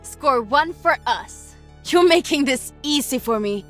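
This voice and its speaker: A woman's voice: sits high, animated, a little slow. A woman playing an enthusiastic, humble, slightly sassy young squire-engineer in a video game, with a Swedish accent. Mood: cocky. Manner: spirited, cheeky. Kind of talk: video game hero voice lines. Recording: game voice clips over faint music.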